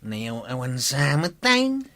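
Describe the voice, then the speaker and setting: An actor playing a scheming drunk drinking buddy in an Ilocano village comedy drama. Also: male voice, medium pitch, wildly swooping pitch, takes it slowly, bright, forceful tone, dry recording, full character voice. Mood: eager.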